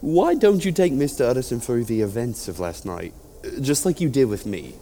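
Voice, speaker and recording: An actor in an audio drama playing a patient, kindly police inspector, courteous and businesslike. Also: male voice, mid-range voice, very animated, moderate pace, dark tone.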